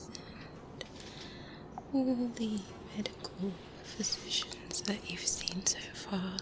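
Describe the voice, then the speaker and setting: Woman playing an eerie whispering doctor, very slow. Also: medium pitch, poor audio. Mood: hushed, knowing. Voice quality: breathy whisper. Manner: hesitant, insinuating, murmuring with many hums. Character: eerie, softly sinister, polite woman specialist doctor. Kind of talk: ASMR roleplay.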